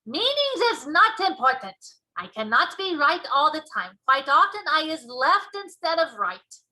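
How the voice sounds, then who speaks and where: A woman voicing a big friendly male giant from a children's storybook, folksy and excitable, with muddled grammar and made-up words. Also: high-pitched, unhurried, exaggerated voice, rough recording. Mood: emphatic. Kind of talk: children's storybook read-aloud.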